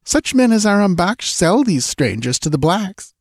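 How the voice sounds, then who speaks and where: A man's voice: high voice, sing-song, moderate pace, no room sound, clean studio sound, voice pushed up, heavily acted. A man voicing a frightened young woman, a dancing girl who pleads breathlessly. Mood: explaining.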